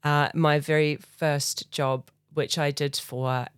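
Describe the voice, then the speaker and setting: A woman's voice: low voice, flat delivery, slow, clean tone, no room sound, studio quality. A middle-aged woman with a New Zealand accent, a charity chief executive speaking thoughtfully and earnestly as a podcast guest. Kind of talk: conversational interview.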